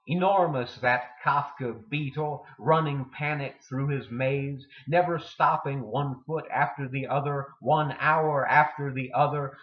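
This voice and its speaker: A man giving a dramatic poetry reading with deliberate, theatrical delivery and emphatic pauses. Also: medium pitch. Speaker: poetry reader. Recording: dull lo-fi sound in echoey room.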